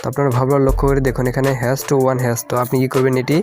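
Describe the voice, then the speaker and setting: Male tutorial host, very rapid and even-toned delivery, instructional and informal, with music underneath. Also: medium pitch. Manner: repeatedly checks in with the listener. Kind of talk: informal step-by-step video tutorial narration. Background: background music.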